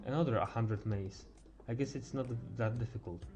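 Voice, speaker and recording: A teenage male game streamer thinking aloud in casual live chat, halting and stumbling over words as he goes. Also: medium pitch, over music. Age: teens.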